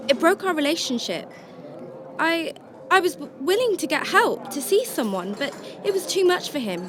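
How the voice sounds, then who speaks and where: Female actor, higher-pitched troubled shelter worker in a radio drama, animated delivery, roomy sound, music underneath. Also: normal speed, crowd noise. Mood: pained. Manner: emotive, hesitant in dialogue. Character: troubled, secretive female shelter worker, married, narrating her story. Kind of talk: first-person radio drama narration and dialogue.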